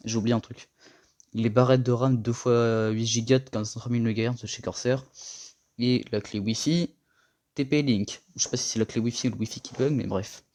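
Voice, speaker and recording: A young man giving a casual tour of his gaming setup, rambling and slangy, a little hoarse from a cold. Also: mid-range voice, darkish tone. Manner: rambling, slangy and self-mocking. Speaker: gaming vlogger.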